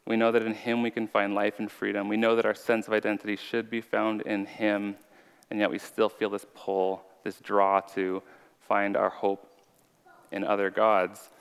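A man's voice: on the low side, completely flat delivery, normal speed, creaky, clear, resonant tone. A man giving an earnest, conversational sermon as an informal preacher with a slight stutter. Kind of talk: conversational sermon with personal testimony. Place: large reverberant room. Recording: reverberant hall.

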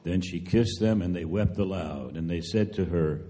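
In a long, reverberant hall, a man reads scripture as a narrator. His voice is very low and dark, and he tells the story in a measured, solemn way. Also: a little hiss or hum. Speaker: narrator in church drama team. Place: large church hall, live reading.

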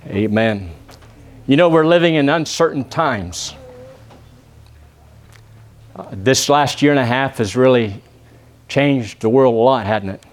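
A man from Texas with a Texan accent, preaching a church sermon and praying, often pausing with "uh" and asking the congregation for an "amen". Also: mid-range voice, lively intonation. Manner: peppers phrases with "amen?" asking the congregation to answer; halting, with many "uh" pauses and restarts. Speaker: visiting preacher.

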